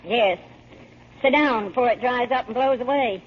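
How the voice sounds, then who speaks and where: A muffled, noisy old radio recording carries an actress playing an exasperated mother. Her voice sits high as she scolds and fusses in sharp-tongued 1940s radio style. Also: normal speed, lightly acted. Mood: exasperated scolding. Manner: fussy, sharp-tongued nagging. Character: scolding, exasperated mother of three grown and teen sons.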